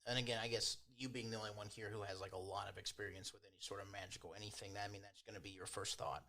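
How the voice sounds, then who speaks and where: A man's voice: fairly low pitch, steady tone, very fast, bright, forceful tone. A man working as game master, improvising scene narration in an informal, descriptive way.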